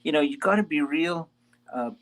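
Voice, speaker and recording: Animated, joking male guitar teacher. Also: a little high, moderate pace. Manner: animated, jokey, quotes imagined people. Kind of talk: casual interview.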